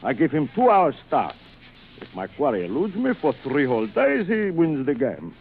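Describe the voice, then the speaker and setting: Through a muffled, noisy vintage radio recording comes a cruel, aristocratic older general. His urbane voice swings widely in pitch, lingering theatrically over words as in a 1940s thriller. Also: huge pitch swings. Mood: coolly menacing. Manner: urbane, theatrical, savoring pauses. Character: suave, cruel aristocratic older general who hunts men.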